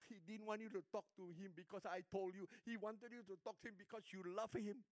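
An older man with a Singaporean accent, a pastor preaching a sermon and telling stories, moving between hushed lines and emphatic ones. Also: high voice, steady tone, brisk, no echo.

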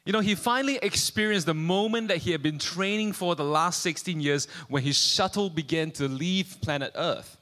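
A man's voice: a little high, moderate pace, hardly any pauses, fairly bright tone. A middle-aged man, a visiting preacher speaking in short phrases that leave room for an interpreter. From Singapore. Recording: reverberant church hall.

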